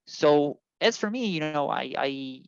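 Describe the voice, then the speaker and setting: A man from Israel, an immigration consultant, answering interview questions in a halting way with many fillers and restarts. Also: on the high side, lively intonation, vocal fry, clear, resonant tone, no room sound, dull audio.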